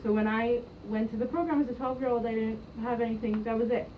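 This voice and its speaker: A woman's voice: fairly high pitch, fairly even intonation, fast talker. A young woman who grew up in Jerusalem, telling her story in a hesitant, casual way with many ums and restarts. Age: young adult. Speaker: interviewee, youth program staff member. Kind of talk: casual spoken recollection. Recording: distant mic, muffled, noisy.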